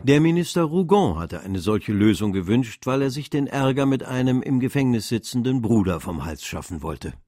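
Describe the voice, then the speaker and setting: A man's voice: mid-range voice, lightly acted. An actor as a sober, formal male narrator. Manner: factual, formal. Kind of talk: scripted radio play narration.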